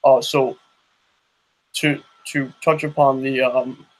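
A man's voice: medium pitch, little pitch movement, slow pace, low-quality recording. A young man hosting a podcast interview, talking loosely with many restarts and "you know what I mean". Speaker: podcast host. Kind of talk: casual interview chat.

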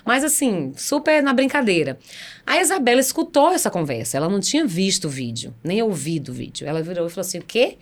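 A woman's voice: mid-range voice, fast. A woman in her forties with a Bahian Brazilian accent, telling a personal story conversationally and acting out the dialogue as she goes. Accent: Bahian Brazilian Portuguese. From Salvador, Bahia, Brazil. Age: 40s. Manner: animated, acts out quoted dialogue. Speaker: podcast guest, singer and event promoter.

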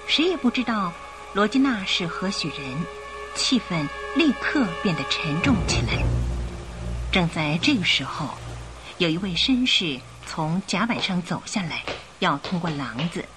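A woman's voice: fairly low pitch, sing-song, measured pace. A woman narrating a scripted radio drama as the storyteller. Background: music.

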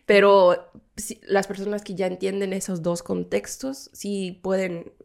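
A Korean-born woman whose first language is Korean, a guest in a casual podcast chat, thoughtful and quick to laugh, often restarting words. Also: medium pitch, flat delivery, gravelly.

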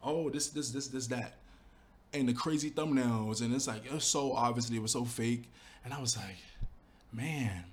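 A man's voice: mid-range voice, moderate pace, a little hiss or hum. Earnest man reflecting on his channel. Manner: earnest, animated storytelling with fillers like "you know". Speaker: YouTuber talking about his channel. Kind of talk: casual reflective monologue.

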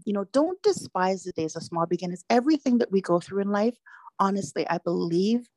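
A woman's voice: medium pitch, lively intonation, a little fast, darkish tone, dry recording. A woman from Jamaica, a guest on a talk show, telling her life story in an earnest, reflective way. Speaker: interview guest. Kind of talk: personal testimony in an interview.

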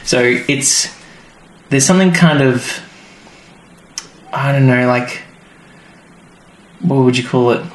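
A man's voice: medium pitch, slow pace, long pauses. A man co-hosting a podcast, chatting casually and rambling with many fillers. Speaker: podcast co-host. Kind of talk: casual chat. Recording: roomy with faint noise.

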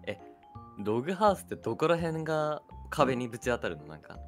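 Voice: mid-range voice, sing-song, a little fast. A radio co-host in casual conversation, listening attentively and responding with short interjections. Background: background music.

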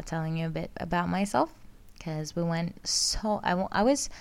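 A woman's voice: fairly low pitch, vocal fry, clear, resonant tone. A young woman from Greece, hosting a casual solo podcast, rambling and correcting herself as she talks. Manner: rambling, self-correcting, laughs often. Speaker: podcast host, astrology student. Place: outdoors with sirens around.

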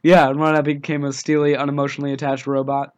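A young man with a scratchy voice from a cold, co-hosting a casual podcast over the phone with dry, deadpan jokes. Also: fairly high pitch, quick pace. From United States. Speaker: podcast co-host. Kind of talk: casual chat. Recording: dull phone-line sound.

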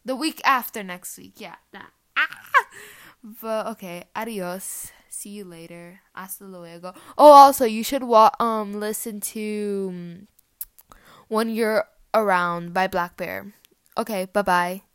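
A woman's voice: mid-range voice, slow pace, clean tone. A teenage girl whose first language is Portuguese, hosting her podcast with rambling, giggly casual chat full of fillers. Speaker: podcast host.

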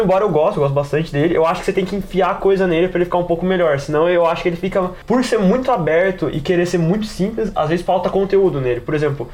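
Fast-talking Brazilian man hosting a podcast. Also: sits high, darkish tone, a little hiss or hum. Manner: wordy, explanatory, peppers talk with slang and tags. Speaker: podcast host and game master. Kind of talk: casual podcast discussion of tabletop role-playing games.

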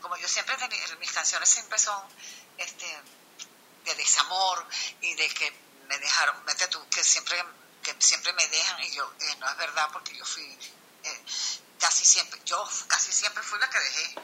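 A woman's voice: fairly low pitch, unhurried, sharp tone, slight background noise, low-quality recording. A woman singer from Venezuela telling a long story in a casual online chat, full of restarts and fillers. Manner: rambling, many restarts and fillers.

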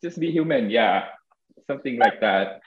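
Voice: medium pitch, animated, normal speed. A podcast host in their early thirties chats casually in non-fluent English, with a blunt, joking manner. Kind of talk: casual chat. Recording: echoey room, dull lo-fi audio.

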